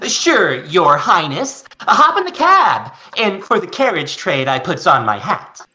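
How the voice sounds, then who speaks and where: A woman's voice: fairly low pitch, big pitch swings, measured pace, bright, forceful tone, dull audio, exaggerated voice. A woman playing a jokey, folksy cab driver in a radio play, playful and mock-grand.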